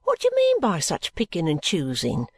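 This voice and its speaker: Woman acting a scolding, lively soldier's wife. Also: fairly high pitch, moderate pace, no room sound. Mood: exasperated. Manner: indignant, emphatic, colloquial. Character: hearty, scolding, wholesome soldier's wife, sharp-tongued but fond. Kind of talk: storybook character dialogue.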